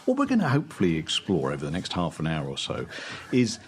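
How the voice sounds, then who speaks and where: Give me a deep-voiced man hosting a business podcast, lively and encouraging. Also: quick pace, slight background noise. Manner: encouraging, lots of backchannel. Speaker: podcast host. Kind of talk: casual interview chat.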